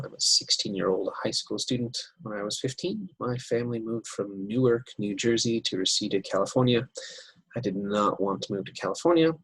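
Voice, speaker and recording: A man teaching an English writing lesson over slides, unhurried and step by step, with many fillers. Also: lowish voice, slightly rough, dry recording, rough recording.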